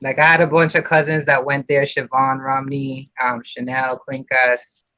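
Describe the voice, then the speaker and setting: A man's voice: mid-range voice, little pitch movement, moderate pace, bright, forceful tone, no room sound. A man from Puerto Rico, a podcast guest talking casually about his childhood, with many fillers and restarts. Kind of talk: casual interview. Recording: dull lo-fi sound.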